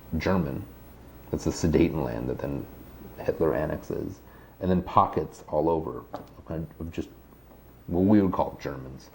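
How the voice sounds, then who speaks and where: A man's voice: sits low, creaky, darkish tone, slight background noise, poor audio. A man, a guest author, explaining history in a thoughtful conversational way with frequent restarts. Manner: thoughtful, many restarts and hedges.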